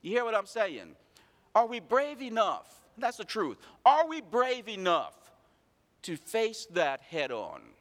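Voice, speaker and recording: Man, pastor preaching, high animated voice, questioning the congregation, echoing hall. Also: takes it slowly. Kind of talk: sermon.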